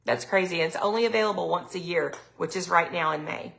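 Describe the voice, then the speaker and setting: A middle-aged woman, chatting casually on a live sales stream, her throat a little raspy from allergies. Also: on the low side, fast talker, a bit bright, poor audio. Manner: chatty, lots of ums, reads viewer comments aloud. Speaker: product consultant hosting a live sales stream.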